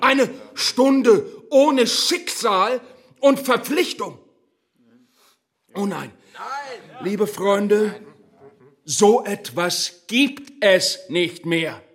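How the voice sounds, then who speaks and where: An actor playing a pompous rural Nazi functionary in a radio play, giving a declamatory, unctuous speech with dramatic pauses. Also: male voice, high-pitched, animated, heavily acted. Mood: fervent, rousing.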